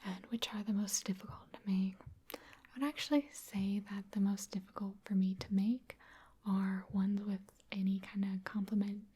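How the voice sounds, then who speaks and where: A woman from Wisconsin, an ASMR creator, answering viewer questions in a soft, chatty, self-deprecating whisper. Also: mid-range voice, breathy edge. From Wisconsin, USA.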